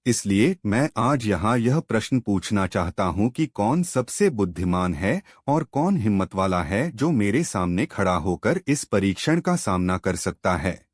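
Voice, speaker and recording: Storybook emperor, read by a man: formal and measured, low register, dry sound. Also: big pitch swings, no echo. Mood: solemn challenge. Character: formal Mughal emperor challenging his court.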